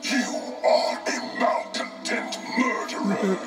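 An actor voicing a grave, defiant construct of many souls in a video game, speaking in solemn, formal declarations with an eerie, breathy quality. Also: male voice, sits high, steady tone, slow pace, reverberant. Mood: grave, accusing. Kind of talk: video game fight intro dialogue. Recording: distant game audio under loud music.

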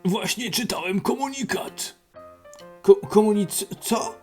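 A man's voice: high voice, slow, darkish tone, faint music behind. A man voicing a grumpy male fire chief who gives curt, impatient replies in an amateur cartoon dub. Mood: grumbling.

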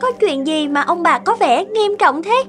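A woman's voice: extremely high pitch, animated, a little slow, over loud music. A woman playing a caring, curious young granddaughter in a cartoon, asking things in a concerned, plaintive way. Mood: worried. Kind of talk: cartoon dialogue acting.

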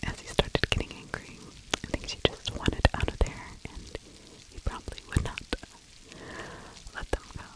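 A middle-aged woman whispering breathy true crime commentary in ASMR style, close to the microphone in halting phrases. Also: low-quality recording. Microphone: fluffy-covered microphone right at the mouth.

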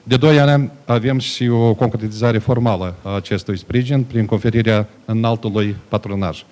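A man hosts an event and introduces the next speaker in a formal but hesitant way, with frequent fillers. His voice sits somewhat low, and he speaks into a lectern microphone. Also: fairly even intonation, a little mellow. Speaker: event organizer and host.

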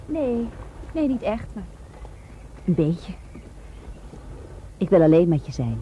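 A woman's voice: lowish voice, very animated, slow pace, slightly rough, highs cut off, heavily acted. An actress playing a teasing, sensual young Japanese woman in a Dutch radio drama, intimate and playful. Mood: coy.

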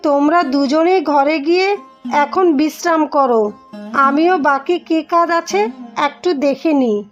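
Cartoon mother-in-law, kindly and doting, voiced by a woman; high-pitched. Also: unhurried, dull audio, faint music behind. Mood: joyful. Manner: affectionate, fussing.

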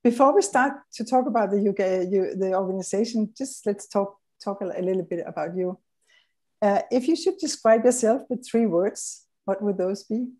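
A middle-aged woman interviewing a guest over a video call, asking friendly questions in a halting way with fillers. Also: medium pitch, warm tone. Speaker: interviewer, host. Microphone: video call webcam.

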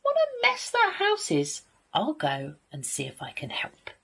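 A woman voicing a big friendly wolf in a children's story, earnest and polite, with breathy sighs. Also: extremely low pitch, very animated, normal speed, exaggerated voice. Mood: dismayed. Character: big friendly gentle wolf, kindly builder.

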